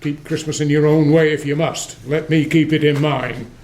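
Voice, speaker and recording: A man's voice: a little high, steady tone, moderate pace, darkish tone, close to an everyday voice. A man acting a scornful, curt old miser, a sneering uncle, in a radio play. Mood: scornful, grumpy.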